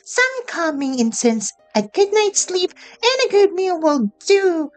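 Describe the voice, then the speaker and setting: Slow, knowing talking snake. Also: female voice, very high voice, very animated, faint music behind, exaggerated voice. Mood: measured, advising. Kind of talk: video game voice acting.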